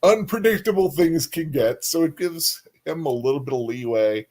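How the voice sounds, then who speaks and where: A man chatting casually as a livestream co-host, speaking in halting, repetitive phrases that often trail off. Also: a little high, a little mellow. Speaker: co-host of a book discussion stream.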